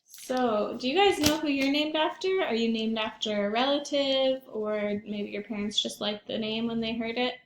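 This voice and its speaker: A woman's voice: on the high side, moderate pace, hardly any pauses, a little hiss or hum. A woman school librarian doing a read-aloud for young children, explaining things and putting questions to them. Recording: echoey room.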